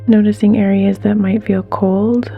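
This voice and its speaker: A woman leading a guided meditation in a soft, gentle, soothing way, with unhurried pauses and some breathiness. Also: mid-range voice, level tone, phone-quality sound, soft background music.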